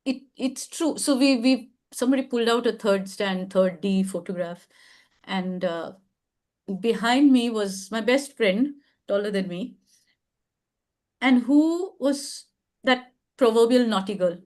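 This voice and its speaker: A woman's voice: fairly high pitch, animated, unhurried, a little mellow. A woman hosting an advice chat on a video call, speaking reflectively and affirming others, often restarting her sentences. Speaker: advice show host.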